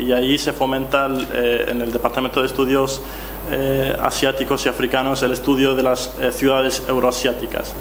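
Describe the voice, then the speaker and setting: A young man from Málaga, born in Amman, answering academic questions off the cuff, haltingly, repeating words as he thinks. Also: mid-range voice. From born in Amman, raised in Málaga. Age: young adult. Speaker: doctoral student presenting research. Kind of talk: unscripted answers at a colloquium. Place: echoey room at a colloquium. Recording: echoey room with clear background noise.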